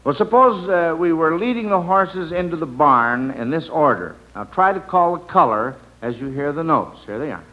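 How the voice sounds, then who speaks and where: A man's voice: a little high, animated, moderate pace, hardly any pauses. A man hosting an old-time radio quiz for children, chuckling and patiently prompting the contestants. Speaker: quiz show host. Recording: dull, noisy old radio recording.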